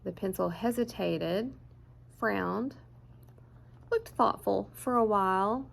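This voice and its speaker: A woman reads a picture book aloud for children. Her pace is slow and deliberate, and her expressive voice carries a noticeable creak. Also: mid-range voice. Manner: expressive, deliberate storyteller phrasing. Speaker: children's story time host.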